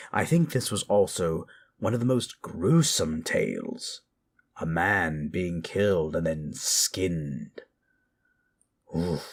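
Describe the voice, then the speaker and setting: A man playing a gentle, brooding teller of spooky tales in a slow, hushed, dramatic manner over music. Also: low-pitched, breathy edge, full character voice. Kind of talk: in-character horror storytelling.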